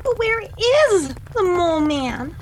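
Woman playing a very shrill, melodramatic superheroine. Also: big pitch swings, slow, a little hiss or hum, full character voice. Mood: worried. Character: anxious female superhero, melodramatic and pleading. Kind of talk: amateur radio play acting.

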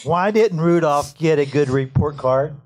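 Slow, deadpan man telling jokes. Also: on the high side. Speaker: staff member.

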